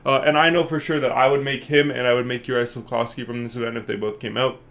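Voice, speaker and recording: A man with a stuffed-up, congested voice talks through his video game predictions in a loose, rambling way. He fills his speech with ums and uhs and thinks out loud. His pitch sits right in the middle for a man. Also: level tone, fast. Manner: rambling, filler-heavy, thinking aloud. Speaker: gaming channel host. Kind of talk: casual video game commentary.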